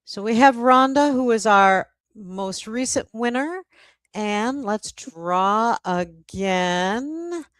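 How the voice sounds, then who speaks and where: A woman's voice: on the high side, animated, slow pace, fairly bright tone, no echo. A woman hosting a casual livestream event as its publisher, speaking informally to a guest and viewers. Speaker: host, publisher.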